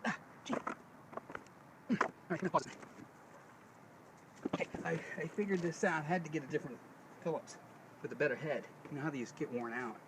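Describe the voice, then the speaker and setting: Higher-voiced folksy man in noisy vehicle recording. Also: normal speed, pauses often. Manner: folksy, thinking aloud while working. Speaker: DIY demonstrator. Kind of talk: casual hands-on how-to vlog. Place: inside a parked Jeep.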